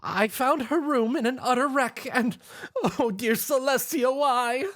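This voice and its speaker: A man voicing a distraught motherly female pony baker who sobs in a high, quavering voice. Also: unhurried, a bit bright, dry recording, clean studio sound. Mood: tearful.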